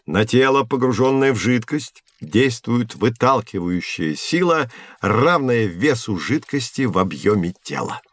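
A man playing a patient, genial science professor who explains in a measured, didactic way in a scripted educational audio drama. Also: mid-range voice, lively intonation, a bit bright, no room sound. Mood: explaining.

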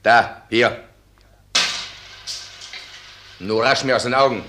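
An actor in a German radio play, playing a domineering, self-righteous mill owner who blusters and commands. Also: male voice, medium pitch, normal speed, background noise, natural voice. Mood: impatient, curt.

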